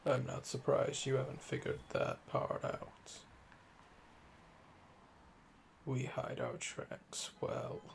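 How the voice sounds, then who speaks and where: A man voicing a calm, smug, flirtatious assassin in a slow, intimate ASMR roleplay, deliberate and condescending, often dropping to a murmur. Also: mid-range voice, vocal fry, slight background noise. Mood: coolly amused.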